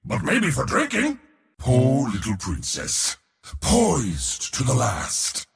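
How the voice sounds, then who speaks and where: Raspy, bellowing, boastful war god. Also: male voice, wildly swooping pitch, slow pace, big-room sound, full character voice. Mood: scornful, gloating. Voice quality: raspy, gravelly, powerful. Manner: pompous, theatrical, taunting, swinging between bellows and low sneers. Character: boastful, battle-hungry male god of war, haughty and mocking. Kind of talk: video game hero voice lines.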